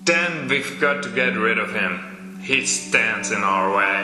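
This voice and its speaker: A man playing a conspiring archaeologist in a horror audio drama, speaking in a deliberate, theatrical way. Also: on the low side, lively intonation, music in the background. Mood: conspiratorial. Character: conspiring archaeologist accomplice in a pulp horror tale. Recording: echoey reverberant sound with background noise.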